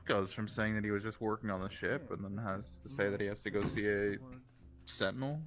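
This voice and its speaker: A man's voice: fairly low pitch, fairly even intonation, a little fast, lightly acted. A man playing a caring, concerned townsman in an improvised roleplay. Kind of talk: improvised in-character roleplay dialogue. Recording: loud background music.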